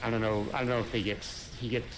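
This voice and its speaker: Casual male gamer, lowish voice, meandering and unsure, heavy game noise in the mix. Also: level tone, fast talker. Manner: rambling, hesitant, many fillers. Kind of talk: casual gaming chat. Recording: noisy game audio behind.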